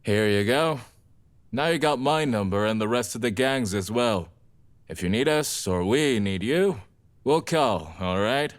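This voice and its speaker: An actor playing a laid-back, friendly young vampire gang member in an audio drama, talking in casual slang. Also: male voice, mid-range voice, lively intonation, a little slow, lightly acted. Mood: friendly, easygoing.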